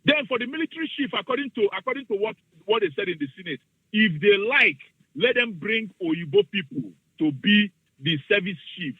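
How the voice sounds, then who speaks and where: Man, Nigerian accent, radio caller, fervent and preachy, high husky voice, tinny phone line. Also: very animated, brisk, no echo. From southeastern Nigeria. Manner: fervent, preachy, mixes in Igbo phrases. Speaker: radio call-in listener. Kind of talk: impassioned call-in speech.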